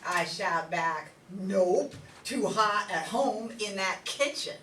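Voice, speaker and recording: A woman's voice: mid-range voice, expressive, slow pace, a bit bright. A woman playing a weary but feisty young wartime crane operator in an amateur radio play. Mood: feisty, boastful. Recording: echoey room, distant mic, background noise.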